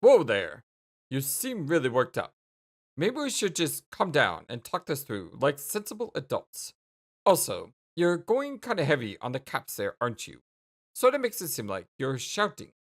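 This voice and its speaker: Woman voicing a folksy, rambling, low-pitched young man. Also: huge pitch swings, moderate pace, exaggerated voice. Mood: placating. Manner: wordy, old-fashioned folksy slang. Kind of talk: dramatic dialogue reading.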